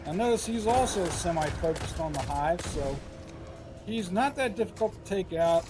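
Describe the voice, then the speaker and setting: A man giving casual commentary over a game walkthrough, his voice a little hoarse and scratchy, thinking aloud with frequent filler pauses. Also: high voice, expressive. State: slightly hoarse, scratchy throat. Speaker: gameplay commentator. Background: game music and gunfire.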